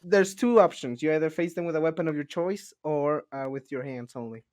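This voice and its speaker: A man's voice: fairly high pitch, brisk, darkish tone, no echo, clean studio sound. A man co-hosting a casual podcast, chatting playfully with a mock-serious joking manner. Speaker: podcast co-host. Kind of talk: casual group chat.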